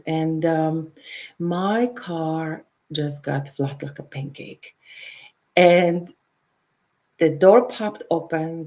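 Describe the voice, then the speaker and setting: A middle-aged woman from Poland with a Polish accent, a counselor hosting a show and leading a guided meditation before telling her own story, speaking softly and sometimes dropping to a whisper. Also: deep voice. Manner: soft, unhurried, drops to a whisper at times. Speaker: intuitive counselor and show host.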